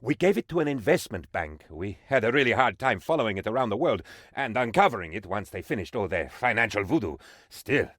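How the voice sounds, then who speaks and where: Animated, bumbling dictator's aide. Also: male voice, lowish voice, a little fast, no echo, studio quality. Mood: pompous, enthusiastic. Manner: theatrical, excitable, mock-official delivery. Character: eager, bumbling, cheerfully shameless male aide to a dictator. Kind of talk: comic video game voice acting, reporting to his leader.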